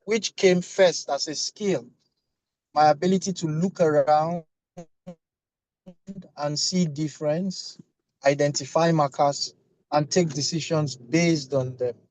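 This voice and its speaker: A man's voice: fairly high pitch, fairly even intonation, a little mellow, no echo, rough recording. Man talking while driving. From Lagos, Nigeria. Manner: measured and deliberate, with a light joking touch. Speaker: management consultant, panelist. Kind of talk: panel discussion on a video call. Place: inside a car while driving.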